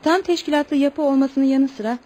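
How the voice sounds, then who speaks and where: A woman's voice: high-pitched, normal speed, darkish tone, natural voice. An actress in a Turkish radio play voicing a young Eskimo girl, formal and polite, proud and eager in a naive way. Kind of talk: Turkish radio theatre acting. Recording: noisy radio play recording.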